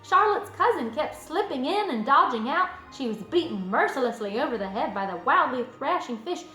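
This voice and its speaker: A woman voicing an eager, imaginative, stubborn young farm girl in a storybook, earnest and excitable. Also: high voice, normal speed, voice pushed up. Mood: excited, insistent. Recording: roomy echo, music underneath.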